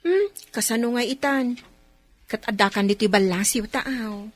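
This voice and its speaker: A woman's voice: on the high side, normal speed, no echo, faint music behind, close to an everyday voice. A woman playing a folksy, loyal household nanny in an Ilocano radio drama, exclaiming expressively with an Ilocano accent. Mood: teasing concern. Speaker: voice actor in radio comedy drama.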